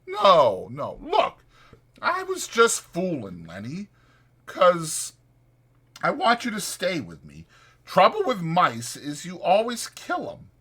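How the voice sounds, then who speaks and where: Man playing a hot-headed male drifter, slangy rural American farmhand talk, high strained pitch, forceful and sharp, audiobook drama. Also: wildly swooping pitch, takes it slowly, slightly rough, full character voice. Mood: gruffly relenting. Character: short-tempered, exasperated migrant ranch worker, ranting bitterly.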